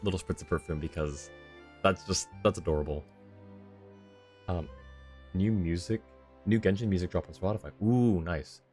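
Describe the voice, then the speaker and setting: A man's voice: low voice, moderate pace, pauses often, a little mellow. A man chatting casually on a game livestream, thinking aloud in a rambling, easygoing way. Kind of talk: casual livestream chat while playing a game. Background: background game music.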